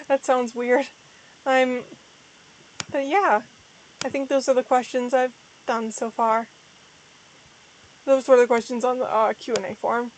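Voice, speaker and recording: Hoarse young woman chatting on stream. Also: fairly high pitch, poor audio. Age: young adult. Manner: rambling, self-deprecating, frequent restarts. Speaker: VTuber streamer. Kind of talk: casual livestream chat.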